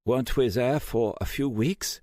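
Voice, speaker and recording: A man's voice: medium pitch, normal speed, a little mellow, no room sound, studio quality, pitched above the performer's own voice, close to an everyday voice. A man voicing a young German hacker with a German accent, slurring and groggy.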